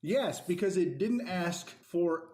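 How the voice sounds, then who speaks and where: A man's voice: high voice, lively intonation, slow, darkish tone. A man co-hosting a podcast in casual chat, with a dry, matter-of-fact way of talking. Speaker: podcast co-host. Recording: echoey room.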